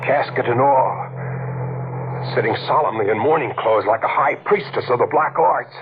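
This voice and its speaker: An actor playing a hard-boiled, greedy adventurer and killer in a melodramatic 1940s radio drama, with an American accent. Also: male voice, mid-range voice, moderate pace, close to an everyday voice. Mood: grim, tense. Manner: hard-bitten, slangy, melodramatic. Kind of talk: 1940s radio drama acting, first-person narration and dialogue. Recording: scratchy, noisy, narrow-band 1940s radio recording.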